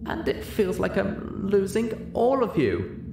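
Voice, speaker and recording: A man voicing an elegant female unicorn in a story reading, choked up and straining to hold herself steady. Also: slow pace, gravelly, full character voice. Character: elegant female unicorn, distraught but forcing composure. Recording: roomy echo, audible noise, low rumble.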